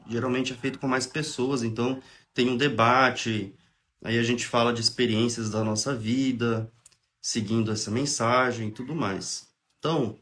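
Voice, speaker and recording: Man with a cold, chatting on a livestream. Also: medium pitch. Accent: Brazilian Portuguese. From Brazil. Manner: relaxed, chatty, interrupts reading to explain. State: has a cold, clearing throat. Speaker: host of a spiritist study livestream. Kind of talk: casual livestream talk and reading aloud. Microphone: phone or webcam on a livestream.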